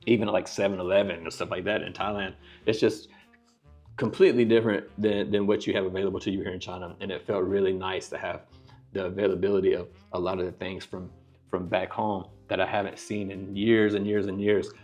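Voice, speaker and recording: A man's voice: low voice, little pitch movement, fairly quick, a little mellow, muffled recording, faint music behind. An American man in his forties talking casually to camera in his living room, rambling with lots of fillers and restarts. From United States. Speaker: vlogger. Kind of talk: casual talking-head vlog. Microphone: clip-on lapel mic.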